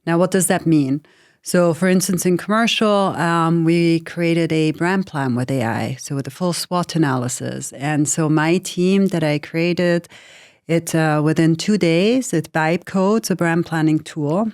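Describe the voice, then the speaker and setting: A woman's voice: deep voice, a little slow, a little mellow, dry recording, studio quality. A woman in her forties from Switzerland, talking frankly as a guest in an interview, often adding "you know" and "right". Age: 40s. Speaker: guest, AI lead in pharma. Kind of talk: casual interview conversation.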